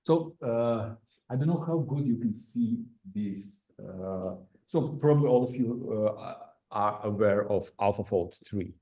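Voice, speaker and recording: A man's voice: fairly low pitch, expressive, measured pace, mellow, husky, rough recording. A middle-aged man from Croatia, giving an academic seminar talk with many "uh" fillers. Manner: non-native phrasing, frequent "uh" fillers. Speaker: invited speaker, researcher. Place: lecture room.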